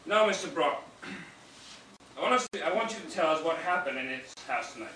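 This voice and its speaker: Male actor as police officer, official and commanding, lively intonation, stage comedy, very echoey, faint noise. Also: a little high, moderate pace. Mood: businesslike. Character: brisk, businesslike male police officer taking a statement. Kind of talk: comic stage play. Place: indoor stage of a small theatre. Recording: echoey theatre, distant mic, some noise.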